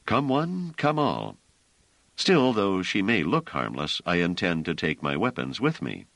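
A wry, cautious man heading a small crew of travelers, as a narrator voices him. Slightly raised from the narrator's low register, with a dry close sound and lively intonation. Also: a little slow, vocal fry, dry recording, heavily acted. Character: wary, wry male space traveler leader.

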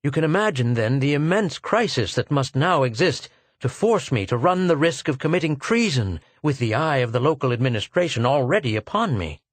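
A man's voice: medium pitch, lively intonation, moderate pace, no room sound, pitched above the performer's own voice, lightly acted. A man voicing a weary, haggard older physicist who speaks in a grim, earnest way.

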